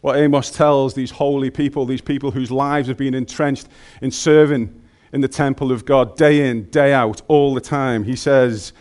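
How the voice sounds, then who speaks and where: A man's voice: medium pitch, fairly even intonation, normal speed, hardly any pauses, a little mellow. Scouse man preaching with humor. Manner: humorous, conversational, colloquial. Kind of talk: sermon.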